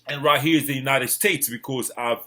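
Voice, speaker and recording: A man's voice: medium pitch, a little fast, a bit bright. Kenyan man explaining in an informal presentation. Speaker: real estate agent presenting investment advice.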